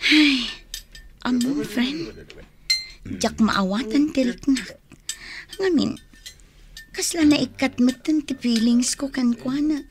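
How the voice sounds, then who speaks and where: A woman's voice: high voice, takes it slowly, pauses often. A woman with an Ilocano accent acting in a radio drama as an evasive young woman who makes excuses to her suitor. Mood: evasive, confiding. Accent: Northern Philippine, Ilocano.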